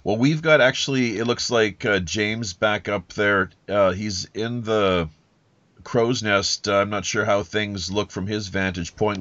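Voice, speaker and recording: A man's voice: on the low side, a bit bright, no echo. A man hosting a casual interview podcast, talking in a wordy, rambling, roundabout way on a lo-fi connection. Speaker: podcast host. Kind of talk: casual interview chat with pirate-themed banter.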